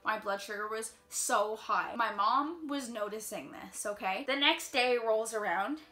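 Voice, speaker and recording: A young woman telling a personal story in a casual vlog, chatty and quick with lots of filler words, sounding a little congested. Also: fairly high pitch, sharp tone. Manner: chatty, fast-flowing, heavy use of "like". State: slightly congested. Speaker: vlogger. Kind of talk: casual storytime while doing makeup.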